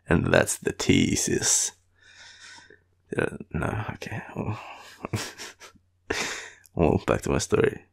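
A tired young Australian man murmuring an affectionate, rambling boyfriend roleplay in a deep, gravelly, breathy voice. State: tired, sleepy. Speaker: boyfriend roleplay audio performer. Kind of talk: intimate sleepy roleplay, ASMR-like rambling.

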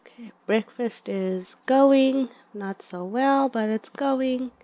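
A woman's voice: a little high, slow pace, dark tone, no echo. A woman giving chatty, casual commentary on her gameplay and talking to her game characters, in a slightly dull lo-fi recording. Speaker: gaming video creator.

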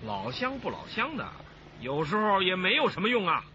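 Boastful, folksy foreman, lively and highish. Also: moderate pace, heavily acted. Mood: proud, worldly. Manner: expansive, folksy, self-assured. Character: boastful, hearty migrant building foreman, doting father. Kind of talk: radio drama acting. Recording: dull, noisy radio drama soundtrack.

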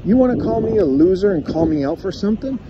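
A man's voice: sits high, expressive, fast. A man walking his dog outside, ranting sarcastically into his phone in a casual vlog. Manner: sarcastic, taunting. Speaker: vlogger. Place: outdoors on a grassy roadside in winter. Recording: lo-fi phone audio with outdoor traffic noise.

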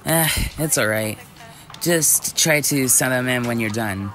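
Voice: medium pitch, measured pace, fairly bright tone. A performer with a woman-like voice acting out an easygoing, dutiful werewolf police chief in scripted ASMR roleplay.

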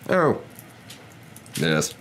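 A man's voice: low voice, big pitch swings, slow pace, slight background noise, heavily acted. A man voicing an anxious middle-aged suburban husband who mumbles and hesitates, in a storybook reading. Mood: sinking, agreeing.

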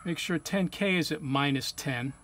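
A man's voice: on the high side, normal speed, fairly bright tone. A man talking through an informal technical tutorial step by step, in a plain-spoken way as he demonstrates. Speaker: technician demonstrating equipment calibration. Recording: clear background hum or ambient noise.

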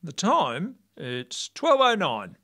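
Woman as night watchman, comic audio drama, eager to please, easily led, very animated intonation. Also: fairly low pitch, slow, full character voice. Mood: puzzled. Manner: unsure, questioning, easily led.

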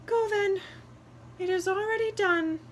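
A woman's voice: very high-pitched, unhurried, a little hiss or hum, voice pushed up. A woman voicing an impatient magical wren in a storybook, curt and weary. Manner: snappish, weary.

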